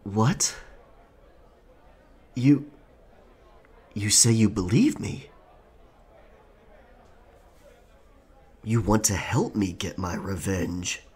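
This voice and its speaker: Slow, husky, vengeful captain. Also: male voice, on the low side, exaggerated voice. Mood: probing. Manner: slow, theatrical, archaic seafaring speech. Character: vengeful, grief-stricken old pirate captain, widowed father. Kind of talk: dramatic pirate roleplay audio.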